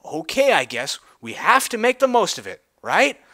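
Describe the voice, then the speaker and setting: Guy doing a confused girl spider from a storybook, hesitant, highish and bright. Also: wildly swooping pitch, normal speed, heavily acted. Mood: reluctant. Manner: hesitant, bewildered. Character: naive, hesitant young female spider, formerly a unicorn.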